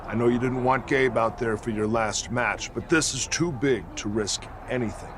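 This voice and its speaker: A man's voice: medium pitch, moderate pace, noisy background, lightly acted. A man playing a stern, commanding veteran wrestler who leads a faction, blunt and authoritative, in a video game cutscene. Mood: firm. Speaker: wrestler, leader of a stable.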